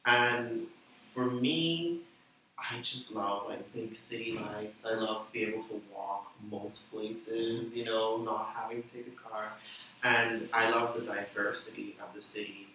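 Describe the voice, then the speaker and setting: A young man from the Bahamas, chatting as a guest in an interview, full of fillers and quick laughs. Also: fairly low pitch, fairly even intonation, sharp tone. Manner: chatty, laughing, many "like" and "you know" fillers. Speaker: musician guest. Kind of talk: casual interview chat. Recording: echoey room, distant mic, light noise.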